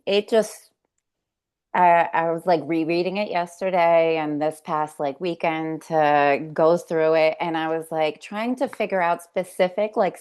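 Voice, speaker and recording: A woman hosting an interview over a video call, talking in a halting way with many restarts and slipping into Spanish words. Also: sits low, clear, resonant tone. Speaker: host. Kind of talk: casual interview chat.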